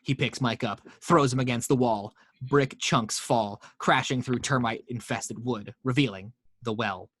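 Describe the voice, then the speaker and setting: A man reads horror stage directions at a mid pitch, in a steady storytelling way with the odd stumble. His voice is dry and close, with no room sound. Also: measured pace, slightly rough, dry recording. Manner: steady storytelling read with small slips. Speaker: narrator reading action lines. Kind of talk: table read narration.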